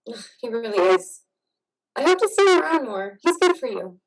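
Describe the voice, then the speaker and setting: A woman playing a gentle, affectionate, supportive wife in an amateur play reading. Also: fairly high pitch, big pitch swings, normal speed, far from the mic. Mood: tender, coaxing. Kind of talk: amateur reader's theater over video.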